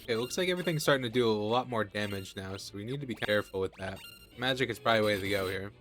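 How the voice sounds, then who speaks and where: A man's voice: on the low side, very fast, soft background music. Nasal man talking through a game. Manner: relaxed, chatty, thinking aloud. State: has a cold. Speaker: gaming channel host. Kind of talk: casual gameplay commentary.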